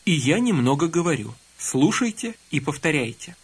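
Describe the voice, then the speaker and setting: A man guides listeners through a scripted language lesson in a calm, instructive tone. His voice is a little husky and slightly higher than most men's, with lively rises and falls. It sounds like a clean studio voice-over. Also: normal speed.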